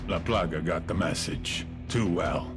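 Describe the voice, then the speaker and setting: A man playing a cold, menacing drug cartel boss with a measured, contemptuous manner in a game. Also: deep voice, music in the background.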